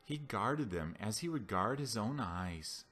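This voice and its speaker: Low-voiced man reading scripture over music. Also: lively intonation, a little slow, a bit bright. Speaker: Bible reader. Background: background music.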